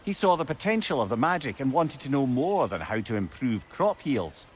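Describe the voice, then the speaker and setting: A man's voice: mid-range voice, sing-song, normal speed, a little hiss or hum, lightly acted. A man voicing a learned old advisor in a video game, eloquent and wry, with a Scottish accent. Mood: storytelling. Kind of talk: video game storytelling and banter.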